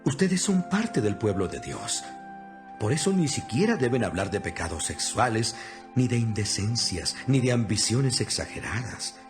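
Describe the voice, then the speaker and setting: A man's voice: on the low side, very animated, normal speed. A man giving an earnest, instructive dramatized reading of scripture as an audio Bible narrator. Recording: music behind the voice. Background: background music.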